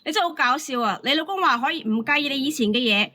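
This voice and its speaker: High, sharp, scolding woman. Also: lively intonation, fast talker. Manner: scolding, emphatic, repetitive. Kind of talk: heated advice and argument.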